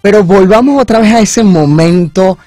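A man's voice: high voice, normal speed, dark tone, dry recording, soft background music. A man hosting a conversational radio interview, enthusiastic and repeating phrases for emphasis. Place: small radio studio. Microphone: studio microphone on boom arm, headphones.

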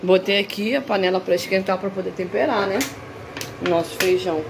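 A woman's voice: medium pitch, fairly even intonation, a little fast, creaky. A young woman with a Brazilian accent, chatting casually to viewers as she narrates a home cooking vlog. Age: young adult. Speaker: home vlogger. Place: small tiled home kitchen, indoors. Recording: noisy hissy home-kitchen recording.

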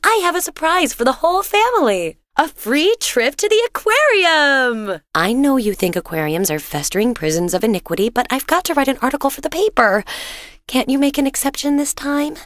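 A woman voicing a cheerful, doting wife and mother in a video game, sweet and expressive, with an inquisitive streak. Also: high voice, fairly quick, dry recording, studio quality. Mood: excited, coaxing. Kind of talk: video game character voice acting.

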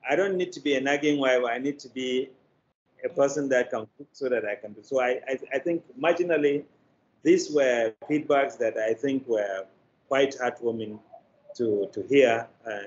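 Older man, Nigerian accent, mid-pitched, anecdotal interview answer, halting phrasing, dulled video-call sound. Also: darkish tone, a little hiss or hum. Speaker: media producer, interview guest. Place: indoor room, on a video call. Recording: slightly dull video-call audio.

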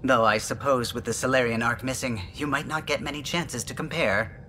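A man voicing a gentle, lonely male alien starship engineer in a video game, musing in precise, clipped recollections. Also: mid-range voice, fairly even intonation, normal speed, soft background music, close to an everyday voice.

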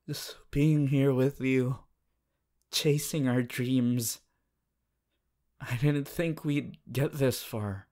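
A man playing a shy, devoted, easily flustered teenage boy hero in an intimate, halting romantic roleplay. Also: mid-range voice, a little mellow. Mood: tender, loving. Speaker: voice actor. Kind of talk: romantic roleplay audio, intimate fan performance.

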